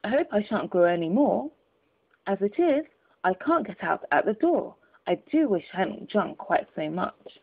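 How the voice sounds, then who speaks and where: A woman's voice: medium pitch, very animated, moderate pace, creaky, husky, full character voice. A woman voicing a curious, polite young girl in a storybook reading, earnestly talking to herself. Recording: tinny phone-like audio.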